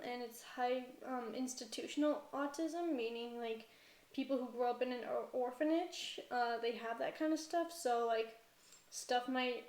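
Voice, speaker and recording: A woman's voice: high-pitched, a little mellow. A young woman from Canada talking casually about her life, hesitant and full of fillers. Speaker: vlogger. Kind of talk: casual personal vlog talk. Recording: roomy echo.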